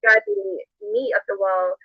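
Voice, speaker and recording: A man's voice: high voice, steady tone, measured pace, no room sound. A man in a casual, complaining livestream rant, repeating himself as he goes. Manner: complaining, hesitant repetition. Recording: tinny phone-like played stream audio.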